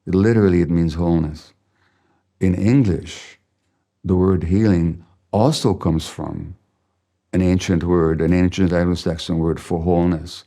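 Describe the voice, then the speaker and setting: An older man, a Hungarian native speaker, giving a slow, measured, reflective masterclass lecture as a physician. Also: deep voice, fairly even intonation, mellow.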